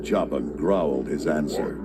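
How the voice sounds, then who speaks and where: A man's voice: very deep voice, measured pace, over loud music. A man reading scripted, dramatic storybook narration.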